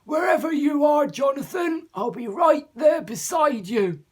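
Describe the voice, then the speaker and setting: A man's voice: extremely high pitch, lively intonation, measured pace, voice pushed up. A man reading aloud, voicing a cheerful old man who calls out from a distance. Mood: hearty. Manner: shouting from a distance.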